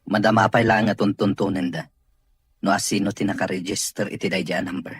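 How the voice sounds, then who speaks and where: A man playing a grateful, earnest boss recovering in therapy, in an Ilocano radio drama, with a Filipino accent and a husky voice. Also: on the low side, steady tone, fairly quick. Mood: resigned, explaining.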